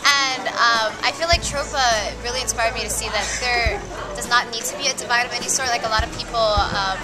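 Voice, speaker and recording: A woman in her twenties gives a casual farewell testimonial, earnest and talkative, thinking aloud with fillers. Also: on the high side. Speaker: student club member.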